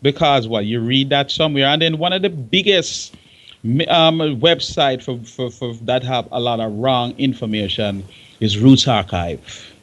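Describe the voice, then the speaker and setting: A man's voice: mid-range voice, measured pace, fairly bright tone, muffled recording. Blunt Jamaican man, emphatic talker. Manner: blunt, emphatic, repeats himself for stress. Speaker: guest, veteran music engineer. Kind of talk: outspoken talk show discussion.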